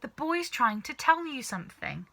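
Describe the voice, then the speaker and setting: A sensible, exasperated storybook mother, voiced by a woman reader. She chides firmly in a very bright, forceful voice with lively intonation. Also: high voice, moderate pace, creaky, no echo, voice pushed up, full character voice. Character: middle-aged mother, exasperated, sensible, scolding wife. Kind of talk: children's storybook character reading.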